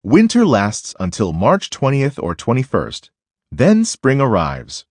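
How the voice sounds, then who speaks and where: A man reads a children's nonfiction book aloud at a slow, deliberate pace. His pitch moves up and down dramatically from phrase to phrase. The voice is slightly husky, and he phrases things for young listeners. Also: huge pitch swings, no echo, clean studio sound. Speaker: reader of a children's picture book.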